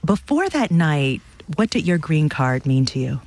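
An interviewer asking calm, measured questions. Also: medium pitch.

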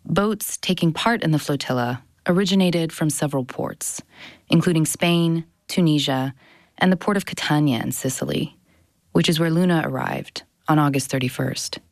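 A woman's voice: deep voice, moderate pace, no echo, clean studio sound. A woman, around her thirties, narrating a scripted audio documentary as its host. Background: music bed.

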